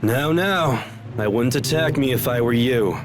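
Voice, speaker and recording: Man acting a slow, smug scheming noble officer. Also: mid-range voice, lively intonation, background noise, lightly acted. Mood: smug menace. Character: haughty, scheming noble army officer and jealous cousin. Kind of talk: dramatic video game voice acting. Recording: music behind the voice.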